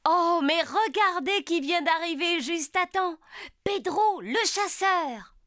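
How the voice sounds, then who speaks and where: A woman's voice: very high-pitched, lively intonation, slow pace, a bit bright, no room sound, studio quality, exaggerated voice. A woman playing a cheerful, encouraging gazelle teacher in a children's cartoon, announcing theatrically. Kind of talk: animated children's cartoon dub.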